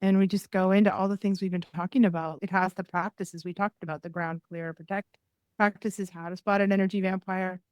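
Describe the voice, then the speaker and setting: Female guest from New England, mid-pitched, dry sound, easy advice-giving tone. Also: steady tone, a little fast, dry recording, muffled recording. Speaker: podcast guest, energy healer. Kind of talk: casual interview chat.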